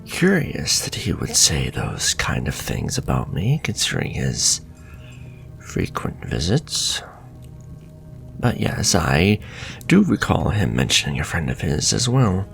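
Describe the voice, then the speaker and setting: A man playing a suave, flirtatious, sinister warlock shopkeeper in a fantasy ASMR roleplay, speaking softly and courteously and drifting into breathy whispers. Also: a bass voice, wildly swooping pitch, takes it slowly, faint music behind, full character voice. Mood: suave, hospitable.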